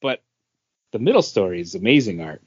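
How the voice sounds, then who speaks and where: A man's voice: on the low side, sing-song, fairly quick, slightly rough, no echo, lo-fi sound. A man co-hosting a podcast, giving his opinions in casual, conversational review chat. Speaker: comics podcast co-host.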